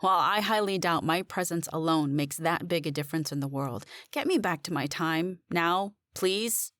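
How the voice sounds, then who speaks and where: A woman's voice: fairly low pitch, normal speed, fairly bright tone, no echo, clean studio sound, lightly acted. A woman playing an exasperated, bewildered woman caught in a supernatural mystery, sarcastic and demanding.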